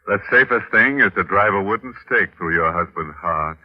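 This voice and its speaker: Muffled old radio recording, man acting a ghoulish horror host, deep voice, gleefully morbid, sardonic, theatrical 1940s delivery. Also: lively intonation, normal speed. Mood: mock-solemn glee. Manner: sardonic, theatrical, macabre humour.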